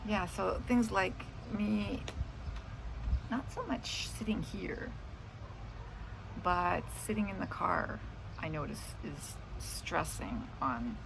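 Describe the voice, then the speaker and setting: A woman's voice: lowish voice, takes it slowly, gravelly, long pauses, background noise. A middle-aged woman in casual, rambling chat about her day, drifting off into asides. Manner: meandering, trailing off into asides. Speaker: vlogger.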